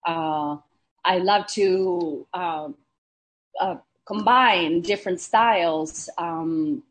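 Soft-spoken Argentine-born woman chef. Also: fairly low pitch, drawn-out words. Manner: hesitant, many fillers and restarts. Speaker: chef, guest. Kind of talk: casual interview.

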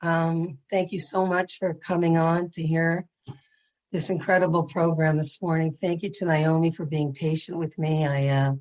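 Raspy woman teaching over zoom, pretty low voice, gentle and earnest. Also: level tone, moderate pace, poor audio. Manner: earnest and gentle, with frequent ums. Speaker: Torah educator and speaker. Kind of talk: spiritual class over video call. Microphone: video call.

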